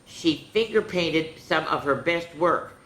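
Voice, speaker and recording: Female children's story host, slow and chatty, in a roomy space with background noise. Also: sits low. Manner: animated, chatty, playful asides. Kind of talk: reading picture books to kids.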